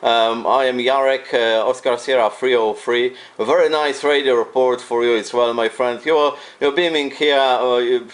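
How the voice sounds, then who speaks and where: A man with a Polish accent talks in a casual amateur radio exchange. His English is halting and repetitive, and his manner is courteous and friendly. His voice sits at a medium pitch. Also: fairly even intonation. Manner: hesitant, repeats phrases; friendly, polite.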